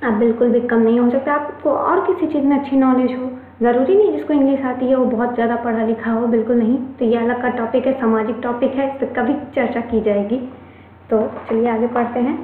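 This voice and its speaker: Chatty Indian woman teaching on a tinny line. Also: a little high. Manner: chatty, reassuring, repeats key points. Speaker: online English teacher. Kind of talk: Hindi-English mixed classroom lecture. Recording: echoey room, tinny phone-like sound, faint noise.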